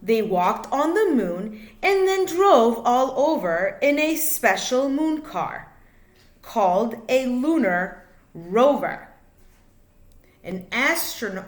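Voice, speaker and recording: A woman reading a children's book aloud like a teacher, in a deliberate, expressive way, phrase by phrase for young listeners. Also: fairly high pitch, echoey room. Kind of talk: children's storybook read-aloud.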